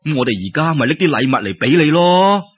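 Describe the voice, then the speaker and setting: A man's voice: on the high side, big pitch swings, a little fast, slightly rough. A man with a Cantonese accent narrating a folk tale in colloquial oral storytelling style. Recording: dull lo-fi radio-style recording.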